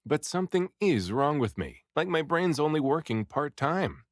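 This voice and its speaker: A man voicing a storybook castaway, excitable and self-doubting, who exclaims and mutters dramatically to himself. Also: fairly high pitch, moderate pace, no room sound, studio quality, pitched above the performer's own voice. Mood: uneasy. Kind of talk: storybook character dialogue.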